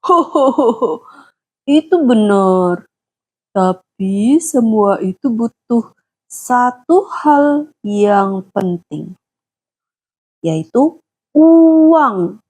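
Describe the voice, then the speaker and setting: A woman voicing a gentle, patient father who explains things slowly to his young daughters in a children's story. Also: a little high, lively intonation, breathy edge, full character voice. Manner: slow, soft, explaining. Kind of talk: storybook dialogue.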